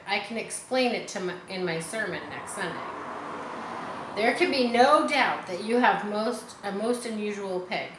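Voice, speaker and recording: An earnest, grave minister from a children's storybook, played by a woman reader. He speaks in measured phrases. The room is echoey, with a little background noise. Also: mid-range voice, fairly bright tone, lightly acted. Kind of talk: children's storybook read aloud. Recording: roomy echo, faint background noise.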